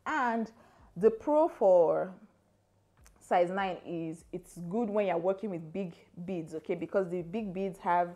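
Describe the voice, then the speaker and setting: A woman's voice: lowish voice, slow, mellow. A young Nigerian woman with a Nigerian accent, giving a casual crafting tutorial to camera, chatty and checking in with "okay?". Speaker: crafting YouTuber. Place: indoor room with armchairs, seated.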